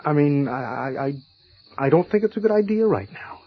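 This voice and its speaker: Husky, rattled, defensive husband. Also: male voice, a little high, lively intonation, moderate pace. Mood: worried, insistent. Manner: agitated, stammering. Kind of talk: audio horror drama acting. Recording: muffled, dull audio drama recording.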